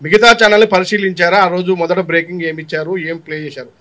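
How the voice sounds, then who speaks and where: A man's voice: sits high, steady tone, racing, sharp tone. A man working as a reporter at a press conference, persistently challenging and repeating his questions.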